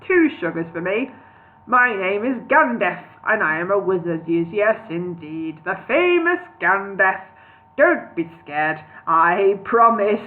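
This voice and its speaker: A woman voicing a booming, eccentric old male wizard who shouts, heard over a tinny phone-like line. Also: very animated, a little slow. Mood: smug.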